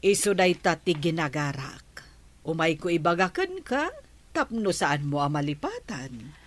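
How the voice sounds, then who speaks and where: Woman playing a slow-talking neighbor lady. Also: low voice, sing-song, exaggerated voice. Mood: friendly. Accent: Ilocano. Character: friendly middle-aged neighbor woman.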